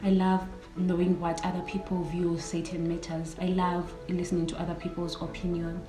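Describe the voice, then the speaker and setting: A young woman in her late teens with a South African accent, talking casually as a vlogger. Her voice sits a little low and stays fairly flat in melody, though she repeats phrases for emphasis. The room is clearly echoey, with music playing underneath. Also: moderate pace. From South Africa, Cape Town. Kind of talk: casual get-to-know-me vlog chat. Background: background music.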